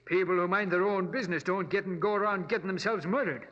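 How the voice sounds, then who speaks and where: Police lieutenant: high, sharp voice, gruff and bossy, excitable, vintage radio drama style. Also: male voice, fast talker, dull audio. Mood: puzzled, gruff. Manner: blustery, bossy. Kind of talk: 1940s radio drama acting.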